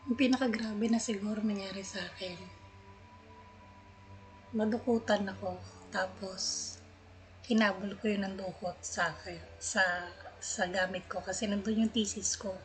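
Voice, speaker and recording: A woman's voice: mid-range voice, fairly even intonation, normal speed, pauses often, a little hiss or hum, rough recording. A woman with a Filipino accent chatting casually as a game streamer. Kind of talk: casual chat.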